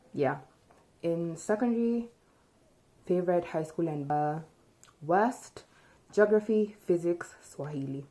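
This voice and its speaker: A young woman vlogger from Kenya answering tag questions in relaxed, chatty talk, often thinking aloud, recorded by a camera in front of her in a small room. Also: sits low, rough recording. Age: young adult. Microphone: camera in front at close range.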